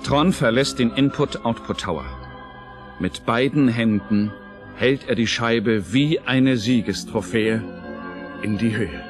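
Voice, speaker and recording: A man narrating an audio play in a scripted, dramatic style, measured and suspenseful. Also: medium pitch. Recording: narration over clear music.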